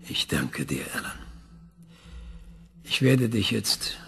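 An actor playing an obsessive older male scientist who questions an AI in a calm, measured, probing tone, in a radio play. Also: on the low side, creaky, big-room sound, soft background music, heavily acted.

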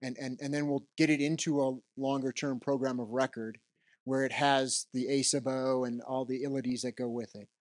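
A man's voice: mid-range voice, flat delivery, moderate pace, no echo. Roaming middle-aged man at a handheld mic. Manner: conversational, roams the stage, frequent "right?" tags. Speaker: keynote speaker. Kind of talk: unscripted keynote talk. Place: conference hall with audience. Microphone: handheld microphone.

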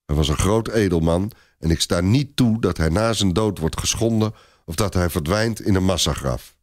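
A man's voice: low voice, normal speed, no room sound, studio quality. A man voicing a stern, sombre medieval commander, curt and grave, in a storybook reading. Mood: moved, solemn.

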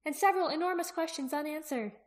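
A woman voicing an excitable, quick-witted young female character in a fantasy audiobook, speaking with emphatic expression. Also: high-pitched, pitched above the performer's own voice, lightly acted. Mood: urgent, inquisitive. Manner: exclaiming, emphatic.

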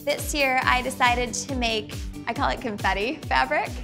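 A bubbly, enthusiastic woman in her thirties, a contestant on a reality show. Her voice sits a bit high. Clear music runs under the interview. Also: moderate pace. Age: 30s. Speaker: contestant, yoga instructor.

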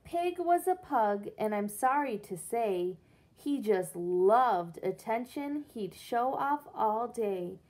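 Woman, 30s, teacher read-aloud: higher voice, unhurried, expressive, simple wording for little listeners. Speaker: teacher reading to young pupils. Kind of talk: picture-book read-aloud with questions to children. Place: indoors, small room against a brick-patterned wall.

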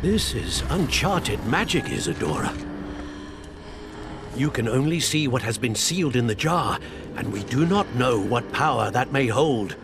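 An actor playing a stern old wizard portrait, speaking in a measured, formal way over soft game music. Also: male voice, medium pitch. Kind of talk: video game dialogue. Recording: game audio with soft score.

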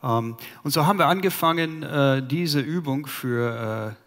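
A man in his fifties from the United States speaking German with an American accent and pausing to search for words, presenting to a conference audience on a headset mic in a large hall. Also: medium pitch, a bit bright. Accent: American English. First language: English. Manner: halting, searches for German words, many fillers. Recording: long hall reverb.

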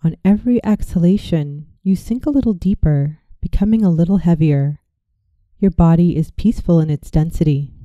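A woman leads a guided visualization in a very warm, relaxed, mellow voice that sits a little low. Her delivery is gentle and measured, like a soothing meditation guide reading a script. The sound is dry, with little room around it. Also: dry recording.

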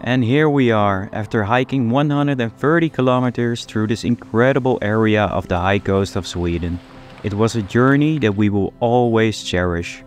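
Calm lower-voiced male narrator. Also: moderate pace, faint music behind. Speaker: vlog narrator. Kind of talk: scripted narration.

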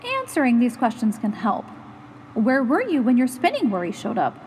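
A woman reading a children's picture book aloud in an animated, instructive, expressive way. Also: fairly high pitch, moderate pace, big-room sound, a little hiss or hum, poor audio. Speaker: storytime reader and host.

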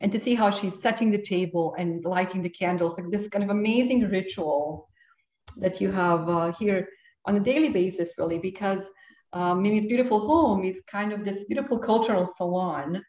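A woman's voice: mid-range voice, moderate pace, darkish tone. A woman hosting a live, conversational interview and putting questions to her guest. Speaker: interviewer, host. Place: guest's home on a canal in Venice. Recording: echoey room, slightly dull sound.

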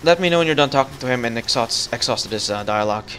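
A young man chatting casually while guiding a friend through a game, relaxed and full of fillers. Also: mid-range voice, fast talker, slight background noise. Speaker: gaming channel host. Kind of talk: casual co-op gameplay chat.

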